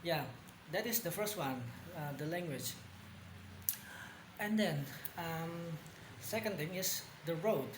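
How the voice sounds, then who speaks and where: Young Indonesian-accented man, casual vlogger, slow and halting, recorded in a roomy, noisy space. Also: on the high side. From Bandung, West Java, Indonesia. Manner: hesitant, many ums and pauses. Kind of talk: casual spoken vlog. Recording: echoey room with background noise.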